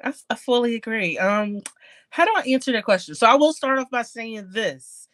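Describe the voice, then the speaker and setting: A woman's voice: on the high side, lively intonation, a little fast, a bit bright, no echo, studio quality. A woman with a slightly stuffed-up nose chatting candidly and casually as a podcast guest, with slang and swearing. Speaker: podcast guest, card collector. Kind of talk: casual interview chat.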